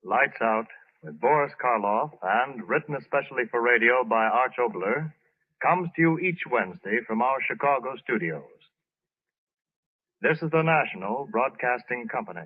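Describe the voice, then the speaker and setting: A man's voice: mid-range voice, expressive, normal speed, phone-quality sound. A man announcing a scripted program in formal 1930s radio style, on an old broadcast recording.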